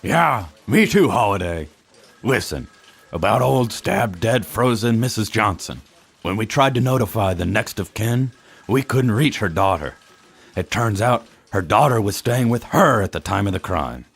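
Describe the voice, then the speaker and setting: A man playing a stern, authoritative police chief in a radio drama, with a gravelly, husky voice and a brusque, commanding manner. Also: fairly low pitch, expressive, unhurried, heavily acted.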